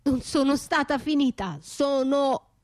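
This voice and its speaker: An actress playing a battered wife left for dead, anguished yet defiant, in a theatrical, poetic radio monologue with drawn-out, breathy delivery. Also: female voice, sits high, no room sound, clean studio sound. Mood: desperate hope. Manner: theatrical, drawn-out, breathy, shifting from whispers to cries. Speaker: stage actress and writer performing a solo radio play.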